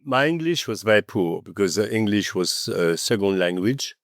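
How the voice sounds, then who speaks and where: A man with a French accent, French his first language, talking as a podcast guest in an animated storytelling manner, often adding "okay?" and "you know". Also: lowish voice, moderate pace, dry recording, clean studio sound. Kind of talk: conversational interview.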